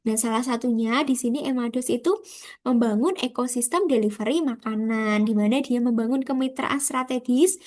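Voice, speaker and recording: Female, Indonesian accent, slightly high pitch; student presenter, informal explanatory delivery, conversational address to listeners. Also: normal speed, a little mellow. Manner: conversational, rhetorical questions to the audience. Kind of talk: informal slide presentation explaining a business case.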